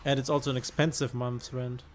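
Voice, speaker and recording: A man's voice: mid-range voice, little pitch movement, a little fast, slight background noise. A man from Germany with a German accent, casually commenting on his card game while streaming and thinking aloud with hesitations.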